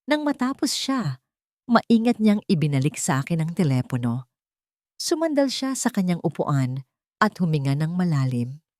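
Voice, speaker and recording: A woman's voice: lowish voice, very animated, moderate pace, a little mellow, dry recording, studio quality. A woman reading a scripted, first-person dramatic story as its narrator.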